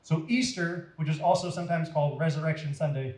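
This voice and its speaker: High-voiced American man telling stories, roomy sound. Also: steady tone, brisk, darkish tone. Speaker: children's storyteller and teacher. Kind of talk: expressive story reading and simple explanation.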